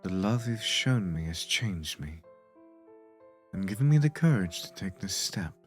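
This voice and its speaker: Man playing a slow-spoken lovestruck earnest prince. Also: sits low, sing-song, faint music behind. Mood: resolute, tender. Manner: earnest, tender. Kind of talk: romantic theatrical acting in a fan dub.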